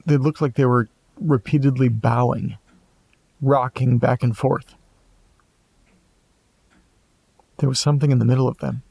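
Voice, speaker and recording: Guy acting as anxious amateur sleuth in a horror audio drama, creaky low-key voice, super dry close sound, mutters to himself. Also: steady tone, moderate pace, a little mellow, no echo. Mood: uneasy, hushed. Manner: hesitant, muttering, talking to himself. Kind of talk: audio drama monologue, reading and musing aloud.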